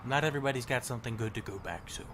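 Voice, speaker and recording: A man's voice: mid-range voice, rapid-fire, a bit bright, slight background noise, lightly acted. A man playing a bitter, regretful survivor in a zombie audio drama, sarcastic and slangy but caring underneath. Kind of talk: audio drama acting, casual profane dialogue.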